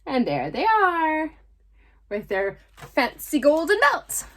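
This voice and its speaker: A woman hosts a children's storytime, reading a picture book aloud. Her high voice rises and falls expressively at an unhurried pace, with affectionate, chatty asides. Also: wildly swooping pitch. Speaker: storytime presenter.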